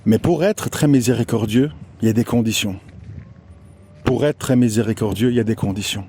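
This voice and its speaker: A man speaking alone in a reflective, preachy monologue, measured and searching for words with frequent hesitations and rhetorical questions. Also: lowish voice, mellow, husky. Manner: asks rhetorical questions to the listener. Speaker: online commentator.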